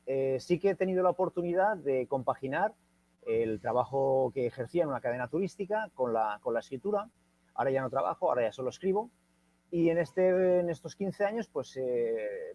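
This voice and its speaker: An older man from Catalonia, speaking as a guest writer in a casual panel talk, pausing often with fillers and restarts. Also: medium pitch, darkish tone. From Catalonia, Spain. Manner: hesitant, many fillers and restarts. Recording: muffled lo-fi video call.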